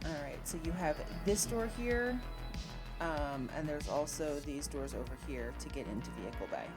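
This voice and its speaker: A woman running a tabletop game as game master, narrating casually in a halting, matter-of-fact way. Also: low-pitched, animated. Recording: music playing behind the voice. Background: music.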